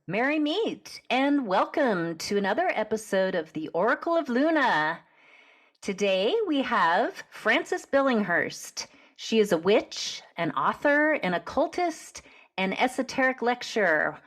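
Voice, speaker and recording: A woman's voice: a little high, big pitch swings, takes it slowly, a bit bright. A woman hosting a podcast, upbeat and curious, asking her guest questions with frequent fillers. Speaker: podcast host. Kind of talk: podcast interview.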